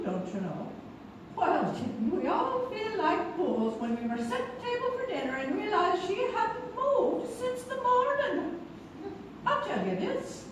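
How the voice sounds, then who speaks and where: A woman's voice: very high voice, exaggerated voice. A woman playing a chatty, gossipy servant in 1890s New Orleans, in an indignant folksy stage monologue. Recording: distant, echoey live theatre recording, noisy.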